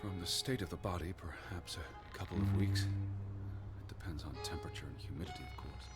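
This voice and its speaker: An actor playing an anxious, rational 1920s American naturalist in a video game, speaking formally and precisely with weary exasperation. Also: male voice, deep voice, fairly quick, over loud music, lightly acted. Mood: grave, dismissive. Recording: clear ambient noise behind voice.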